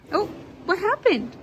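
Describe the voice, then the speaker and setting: A woman with a high, very lively voice reads a storybook at an unhurried pace. She draws out words and exclaims, slipping between the text and chat with small children. It is a home recording with some background noise. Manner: animated, drawn-out delivery with exclamations. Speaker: mother reading a storybook aloud to her children. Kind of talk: expressive read-aloud storytime mixed with chat to young children. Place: at home among young children.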